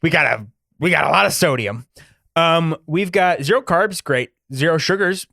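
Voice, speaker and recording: A man co-hosting a casual podcast chat, joking and ranting with animated energy. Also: fairly high pitch, moderate pace, creaky, no room sound, clean studio sound. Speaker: podcast co-host.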